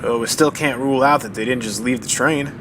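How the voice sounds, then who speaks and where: A man plays a steady, practical investigator trapped on a train in a radio mystery. He speaks calmly in a medium voice. A noticeable hiss sits beneath the recording. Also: mid-range voice, a little fast, natural voice. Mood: tense. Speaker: voice actor. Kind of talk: old-time radio drama acting. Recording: noisy radio drama recording.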